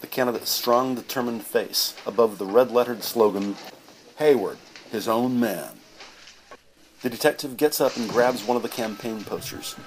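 A man's voice: medium pitch, normal speed, a little hiss or hum, poor audio. A man narrating a scripted audio drama in a descriptive storytelling style.

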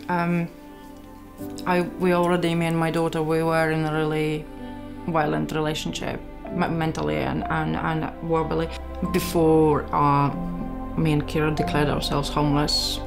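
Latvian woman, lowish croaky voice, halting personal testimony, bit of music behind. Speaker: church member giving testimony. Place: small living room. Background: background music.

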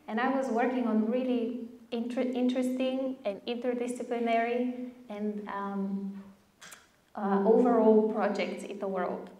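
A woman's voice: a little high, a little mellow. A woman in her thirties from Bulgaria giving an enthusiastic conference talk at a podium mic in a large lecture hall, often checking in with the audience. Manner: enthusiastic, chatty, asks the audience with "right?" and "okay?". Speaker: speaker. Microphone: podium mic on a stand. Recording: roomy hall sound.

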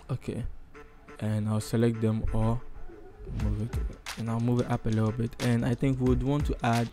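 A man with a Ghanaian accent talks through a casual screen tutorial. He is chatty and rambles a little, thinking aloud in a middling pitch. Music plays clearly behind his voice. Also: a little mellow. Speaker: tutorial host.

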